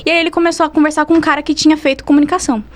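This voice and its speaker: A woman's voice: high-pitched, steady tone, very fast. A young woman chatting casually on a podcast in a colloquial, anecdotal way, full of slang fillers. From Brazil. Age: young adult. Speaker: podcast guest, university student.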